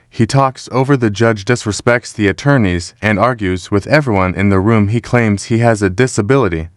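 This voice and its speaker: Low-voiced man narrating commentary. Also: no echo, clean studio sound. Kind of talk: scripted voice-over commentary.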